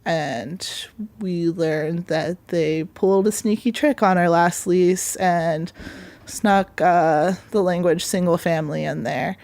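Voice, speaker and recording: A woman's voice: fairly low pitch, fairly even intonation, slow, darkish tone. A woman explaining things casually in an interview. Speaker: tenant being interviewed.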